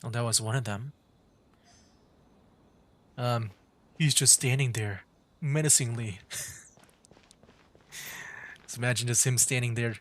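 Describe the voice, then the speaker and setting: A man doing casual livestream gameplay commentary, joking and thinking out loud. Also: medium pitch, slow pace, long pauses. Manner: joking, chatty, thinks aloud. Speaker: game streamer.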